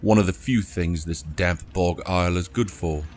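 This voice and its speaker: An actor plays a wry, unbothered husband in an audio drama. His voice is low and his delivery dry and wordy, with faint ambience around him. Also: moderate pace, darkish tone, a little hiss or hum, natural voice. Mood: smug, content.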